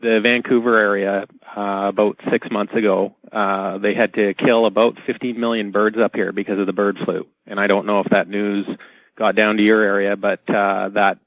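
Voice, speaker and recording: A man's voice: on the low side, little pitch movement, moderate pace, no room sound. A man from Canada talking casually on a radio call-in show, polite and a little tentative. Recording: dull band-limited call audio.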